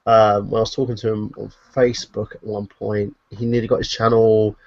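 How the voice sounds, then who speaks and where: Man, mid-pitched, long hesitant explanations, dry close sound, dull lo-fi call. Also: level tone, brisk, vocal fry, dry recording. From United Kingdom. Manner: explains at length, hesitant with ums. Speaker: stream panelist. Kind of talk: casual group chat.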